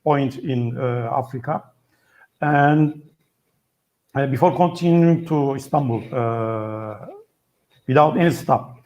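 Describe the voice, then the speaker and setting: An older man from Turkey, a native Turkish speaker, talking in measured, halting English as a webinar guest over a video call. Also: medium pitch, darkish tone, lo-fi sound. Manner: measured, halting, formal phrasing. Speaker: guest, retired army colonel and traveler.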